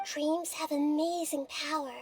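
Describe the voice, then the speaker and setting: A woman voicing a small childlike female god, gentle and earnest, in scripted anime game acting. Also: high voice, fairly even intonation, unhurried. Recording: game music under the voice. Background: game music.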